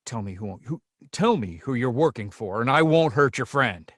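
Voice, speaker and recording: A man's voice: mid-range voice, animated, normal speed, dry recording, clean studio sound, natural voice. A man playing a smug, taunting villain in a screenplay table read. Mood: menacing. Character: smug, menacing male villain, taunting and controlling.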